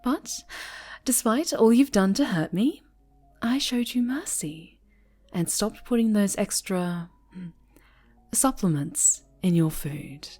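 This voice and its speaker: A woman voicing a possessive, sinister superhero in an ASMR roleplay, soft and often whispered, with a tender, coaxing menace. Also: medium pitch, animated, takes it slowly, faint music behind. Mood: controlling, tender. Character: possessive, sinister female superhero, a sweetly menacing obsessive captor.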